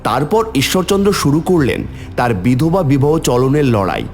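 A man's voice: mid-range voice, sing-song, normal speed, darkish tone, soft background music. A man narrating a scripted story in a dramatic storytelling style.

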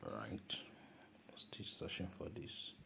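A man's voice: takes it slowly, whispered. A man talking viewers through a phone design app step by step, repeating himself and calling things "this guy". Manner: instructive, repeats steps, calls objects "this guy". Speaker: design tutor. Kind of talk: screen-recorded software tutorial.

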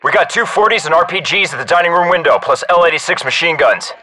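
Casting: focused elite squadmate in a military game, slightly high, forceful, terse and businesslike. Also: male voice, lively intonation, fast, poor audio. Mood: focused, alert. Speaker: soldier in squad. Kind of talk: military game radio callouts.

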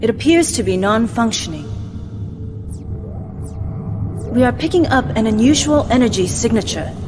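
An actress playing a cold, formal adviser in a science fiction game, briefing in a measured, clinical way. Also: female voice, on the high side, expressive, natural voice. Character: cold, formal woman adviser of a scheming merchant house. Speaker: mission briefing officer. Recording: music behind the voice.

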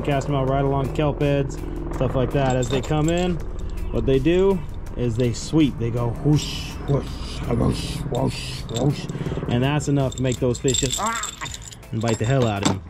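A young man, casually showing his fishing tackle in a joking, loud, animated vlog style. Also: medium pitch, moderate pace. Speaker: fishing vlogger. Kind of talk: casual show-and-tell vlog. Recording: music playing under voice. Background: background music.

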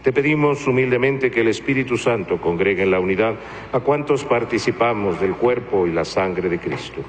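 Solemn priest in a reverberant church. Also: male voice, on the low side, animated. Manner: measured, solemn recitation, partly chanted. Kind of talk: liturgical prayer at Mass. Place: large church. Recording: noisy, long church reverb.

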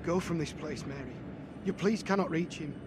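A man's voice: on the high side, normal speed, heavy background noise, natural voice. An actor playing a doomed, protective Puritan-era man in a game, earnest and archaic in his phrasing. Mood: resigned, urgent. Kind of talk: dramatic period game acting.

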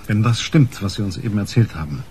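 An actor playing a gruff, cynical, brusque homicide detective in a naturalistic German radio crime drama. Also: male voice, fairly low pitch, animated, a little fast, a little mellow, a little hiss or hum, close to an everyday voice. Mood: sardonic, probing. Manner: brusque, sardonic, impatient.

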